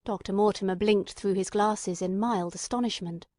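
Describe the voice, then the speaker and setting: A woman's voice: mid-range voice, steady tone, moderate pace, a little mellow, dry recording. A woman reading scripted literary narration as an audiobook narrator.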